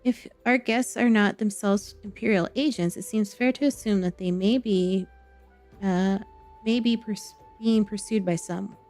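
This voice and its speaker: A self-assured, scheming diplomat from a fantasy game , read aloud by a woman. The speech is formal and measured in wording, with an occasional halting word. The pitch is mid-range for a woman, and music plays underneath. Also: a little mellow, natural voice. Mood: calculating, polite. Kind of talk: reading game dialogue aloud.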